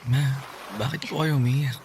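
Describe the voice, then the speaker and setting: A man playing a gentle, brave, sick young son in a Filipino radio drama, polite and earnest. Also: medium pitch, fairly even intonation, moderate pace, natural voice. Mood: concerned, gentle. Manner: polite, soft-hearted, earnest. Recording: noticeable background noise.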